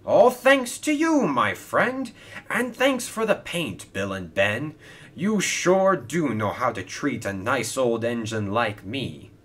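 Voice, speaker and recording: A man voicing an exuberant, warm-hearted, grateful old steam engine in a children's story. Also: medium pitch, very animated, slow pace, exaggerated voice. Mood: grateful, elated.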